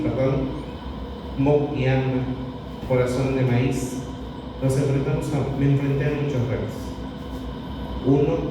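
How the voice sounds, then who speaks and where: Man speaking from far off in an echoey hall. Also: mid-range voice. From southern Veracruz, Mexico. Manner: measured, reflective, speaks in short phrases. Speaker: translator presenting a book. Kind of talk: public talk at a book presentation. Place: cultural center hall. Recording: echoey hall, distant mic, loud noise.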